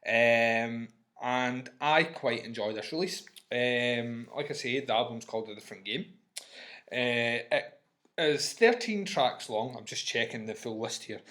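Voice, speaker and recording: A man's voice: medium pitch, unhurried, a bit bright. Chatty Scottish man reviewing on webcam. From Scotland. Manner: chatty and swears freely. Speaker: music reviewer. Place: small room at home.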